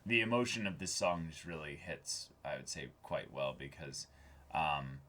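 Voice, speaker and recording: A man's voice: very deep voice, animated, moderate pace, sharp tone. A man co-hosting a casual reaction discussion, often repeating words and phrases for emphasis. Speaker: co-host of an anime reaction show.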